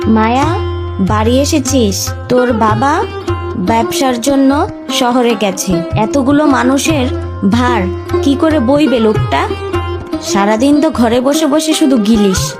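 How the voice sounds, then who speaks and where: A woman's voice: high voice, takes it slowly, long pauses, loud music behind the voice. A woman voicing a scheming, resentful cartoon stepmother who grumbles and scolds behind a sweet front. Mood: harsh, scolding. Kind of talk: Bengali cartoon voice acting.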